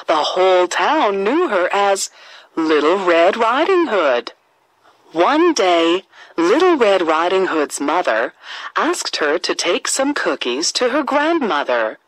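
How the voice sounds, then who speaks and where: A woman tells a children's fairy tale in a very lively, melodic range. Her pace is slow and deliberate, with expressive phrasing, and the recording is dry and close. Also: fairly low pitch, no echo, rough recording. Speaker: storyteller.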